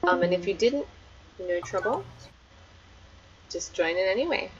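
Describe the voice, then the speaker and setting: A woman's voice: lowish voice, expressive, unhurried, highs cut off, a little hiss or hum. A woman with an Australian accent hosting a book club live stream in a friendly, welcoming way. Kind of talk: casual live-stream chat.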